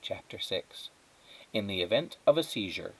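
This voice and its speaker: A man reading a children's story aloud as a teacher, in expressive narration. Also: fairly low pitch, moderate pace, breathy edge, rough recording.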